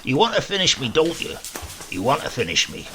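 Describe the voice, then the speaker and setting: A man's voice: on the high side, wildly swooping pitch, a little fast, pushed and bright, slight background noise, pitched above the performer's own voice, heavily acted. A man voicing a cantankerous, blustering old country great-uncle with a rural English accent in a comic tale. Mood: blustering, aggrieved. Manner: blustering dialect protests. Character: cantankerous old rustic great-uncle, blasphemous, defiant, roguish.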